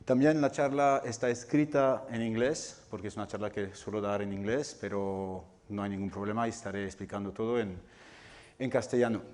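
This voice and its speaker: A man whose first language is not Spanish, lecturing an audience on game development and often pausing to search for words. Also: fairly low pitch, fairly even intonation, a little mellow. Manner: searching for words, frequent restarts and fillers. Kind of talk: public talk to an audience. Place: cultural center lecture room.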